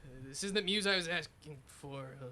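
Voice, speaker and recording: A man's voice: on the high side, fairly quick, sharp tone. A man playing a bumbling, self-important private detective who fumbles words in a parody noir radio serial. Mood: flustered.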